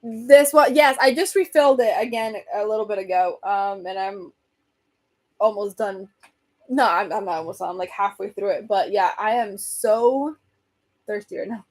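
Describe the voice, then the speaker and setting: A woman hosting a casual livestream, rambling and answering viewer comments, her voice getting hoarse from talking so much. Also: mid-range voice, moderate pace. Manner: chatty, rambling, reads and answers comments, laughs often. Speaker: livestream host chatting with viewers.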